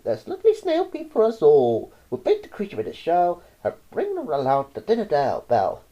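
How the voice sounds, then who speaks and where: A woman voicing a crowd of greedy, excited Frenchmen in a storybook, loud and eager. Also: lowish voice, moderate pace, dull audio, full character voice. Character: hungry, shouting crowd of Frenchmen.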